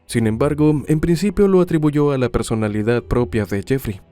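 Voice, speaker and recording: A man delivering scripted true crime narration in a measured, dramatic storytelling manner. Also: medium pitch, warm tone, clear, resonant tone, soft background music.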